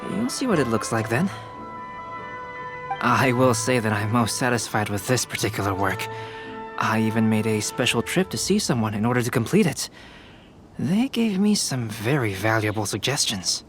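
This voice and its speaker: A man playing a courteous, intellectual young artist in a video game, with a precise, even-tempered delivery. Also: medium pitch, moderate pace. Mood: composed, satisfied. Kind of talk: scripted video game dialogue. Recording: faint music under the voice.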